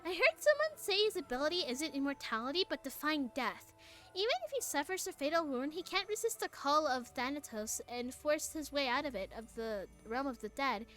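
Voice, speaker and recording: A chatty, curious girl, as a woman puts her on gossips in a very high, bright voice. Her pitch bounces all over. Faint game music plays behind her. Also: lively intonation, fairly quick. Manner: breezy, gossipy reading of character lines.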